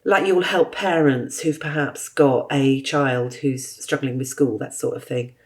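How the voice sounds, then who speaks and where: A woman hosting a podcast interview, a little hoarse from a cold, encouraging her guest. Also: a bass voice, steady tone, unhurried. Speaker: podcast host.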